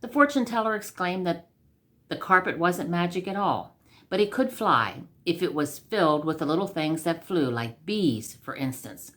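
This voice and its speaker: A woman's voice: fairly low pitch, expressive, moderate pace, fairly bright tone. A woman storyteller reading a scripted comic tale aloud.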